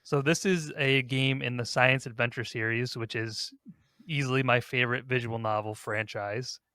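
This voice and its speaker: A man's voice: mid-range voice, fairly even intonation, moderate pace, pushed and bright, no room sound, studio quality. A man hosting a gaming podcast, talking casually in a rambling, conversational way. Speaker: podcast host.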